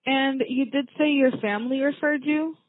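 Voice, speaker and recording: A woman's voice: sits high, moderate pace, no echo. A woman working as a receptionist, speaking in a welcoming, positive customer service manner over the phone. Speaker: orthodontic office receptionist. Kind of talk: customer service phone call, semi-scripted. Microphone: telephone. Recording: narrow, tinny phone line, dry.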